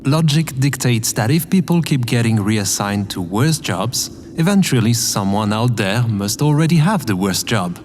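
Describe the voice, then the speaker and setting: Man acting a naive, literal spaceship operator, lively pitch. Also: normal speed, hardly any pauses, slight background noise, close to an everyday voice. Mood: hesitant, earnest. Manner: literal, hesitant, earnest. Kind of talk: scripted sci-fi comedy audio drama.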